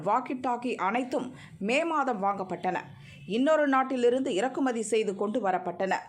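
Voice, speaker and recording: A woman narrating a scripted news report in an even, formal read. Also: on the high side.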